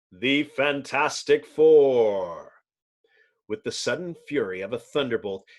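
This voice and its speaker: Theatrical man narrating, pitch swinging widely. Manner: theatrical, announcer-like. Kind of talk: dramatic comic-book reading.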